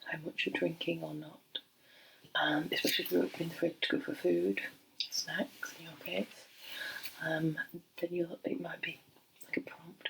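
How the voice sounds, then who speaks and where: Soft-spoken, creaky, whispery ASMR woman. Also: lowish voice, low-quality recording. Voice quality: breathy, often dropping into a whisper. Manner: gentle, unhurried, hesitant pauses. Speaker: ASMR performer role-playing a doctor. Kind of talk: soft-spoken ASMR medical role play. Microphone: close microphone.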